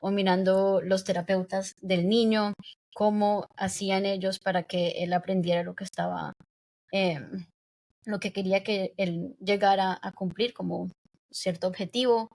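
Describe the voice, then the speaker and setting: A young woman raised in the United States, a podcast guest speaking reflectively in a casual interview, pausing often with fillers. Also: mid-range voice, darkish tone. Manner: reflective, hesitant with many fillers.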